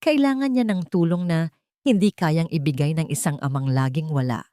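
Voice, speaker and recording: A woman's voice: deep voice, normal speed, mellow, no room sound, studio quality, natural voice. A woman with a Filipino accent voicing a scheming female witness who pleads in feigned fear. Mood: tearful, pleading. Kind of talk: audio storybook character voice.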